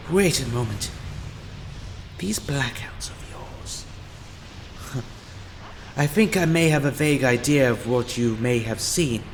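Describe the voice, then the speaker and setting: Brief: a man voicing a sardonic, self-styled god of time in an audio drama, slow and deliberate, pitch swinging widely. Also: slight background noise. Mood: impatient. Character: scheming, self-important male time-meddler posing as savior. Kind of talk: fan audio drama acting.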